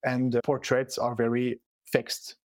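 A man with a Swiss French accent, French and Italian his first languages, chats casually in English. He talks with frequent fillers and restarts while he looks for the right words. His voice sits mid-pitch and is recorded dry and close. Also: monotone, a little slow, no room sound. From Switzerland. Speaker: artist guest. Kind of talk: casual conversation.

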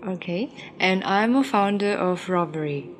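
A woman's voice: medium pitch, lively intonation, unhurried, a little hiss or hum. A young woman from Ukraine, a raw pastry chef and founder, answering interview questions with many fillers and restarts.

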